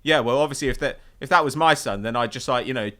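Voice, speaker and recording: A man's voice: mid-range voice, fast talker, sharp tone. A man hosting a casual football podcast discussion in a wry, sarcastic way. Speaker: podcast host.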